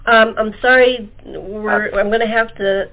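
A woman's voice: medium pitch, fairly even intonation, a little slow, background noise. A woman hosting a podcast in a casual, chatty style over a thin phone-like line. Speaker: podcast host. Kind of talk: casual chat. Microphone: remote call.

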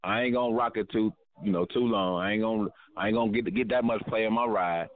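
A man on a sports talk panel gives his prediction in a relaxed, halting way. His voice sits low. The sound is thin and tinny, like a phone line.

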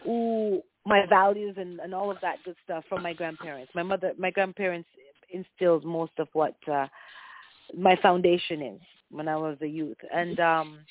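A woman's voice: lowish voice, no echo. A woman singer from Jamaica, a guest on a radio phone-in, who tells her story in a bubbly way with frequent laughs. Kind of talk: casual radio interview by phone. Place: home in Toronto. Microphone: phone call. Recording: tinny phone line.

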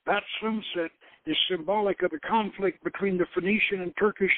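A man's voice: high-pitched, steady tone, a little fast, dry recording, phone-quality sound. A man reading a novel aloud in a dramatic narrating style, sometimes stumbling and repeating a phrase. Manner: stumbles over words and rereads phrases. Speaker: host reading his own novel aloud.

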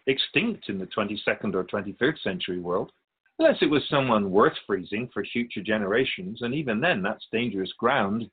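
A man playing a wise, wry otherworldly spirit guide in a radio drama interview, measured and philosophical. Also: medium pitch, slightly rough, narrow, tinny audio. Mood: wryly amused. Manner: measured, philosophical, gently admonishing.

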